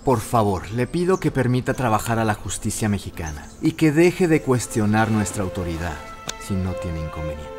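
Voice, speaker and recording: An actor playing a courteous but firm middle-aged Mexican president in an audio drama, speaking formally. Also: male voice, lowish voice, sing-song, normal speed, over music. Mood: stern. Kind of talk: scripted audio drama dialogue.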